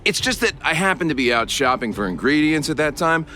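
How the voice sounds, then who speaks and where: Male game role: huffy camp cook, hard-edged forceful tone, animated blustering delivery, faint music. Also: fairly high pitch, a little fast. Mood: defensive, confiding. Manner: blustering, indignant, condescending. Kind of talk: English video game voice acting.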